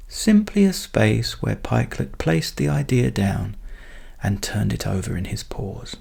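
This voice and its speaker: A man narrating a bedtime story as a calm, gentle storyteller in a soft, soothing manner. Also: on the low side, unhurried, creaky, slightly rough.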